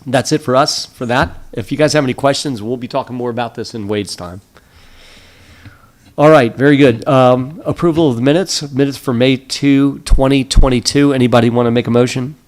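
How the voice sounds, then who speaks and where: A man chairing a town commissioners' meeting, moving through the agenda with an easygoing, brisk manner and frequent "very good"s. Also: medium pitch. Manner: affable, brisk procedural patter with repeated "very good".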